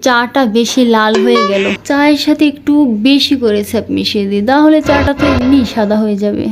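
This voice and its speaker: A woman's voice: a little high, brisk, over music, close to an everyday voice. A woman voicing a cheeky, mischievous young grandchild in a comic cartoon skit, teasing with mock politeness and innocence. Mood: sly, teasing. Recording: noisy amateur recording with clicks.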